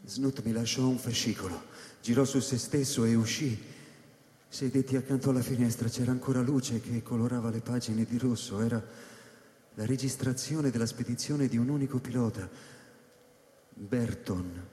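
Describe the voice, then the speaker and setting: An actor playing a guarded, introspective man in a radio drama, with a measured, questioning way of speaking. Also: medium pitch, steady tone, dark tone, close to an everyday voice. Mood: reflective. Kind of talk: radio drama dialogue and literary narration. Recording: reverberant hall, faint music behind.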